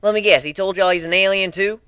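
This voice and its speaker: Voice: mid-range voice, fast talker, pushed and bright, clean tone, dry recording, clean studio sound, voice pushed up, natural voice. A performer voicing a blunt, skeptical female farm pony with a US Southern accent in a cartoon fan story. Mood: accusing. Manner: folksy, accusing.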